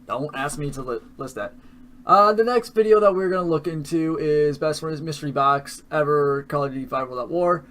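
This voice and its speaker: A man's voice: a little high, fairly bright tone. A young man casually commenting with profanity, mocking his own old videos.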